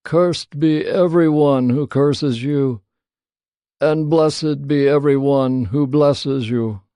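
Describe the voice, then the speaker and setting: A frail old patriarch acted by a man speaks from a dramatised scripture. His voice is high and mellow, and his delivery is slow, solemn and declamatory, close and dry. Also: smooth, no room sound. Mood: solemn blessing. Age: older.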